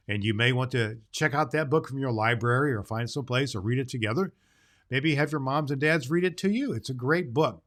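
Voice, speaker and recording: A man's voice: medium pitch, a bit bright, natural voice. A man playing a jolly, kindly Santa Claus, chatting to children in a folksy, rambling way on a festive storytelling podcast. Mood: kindly, chatty.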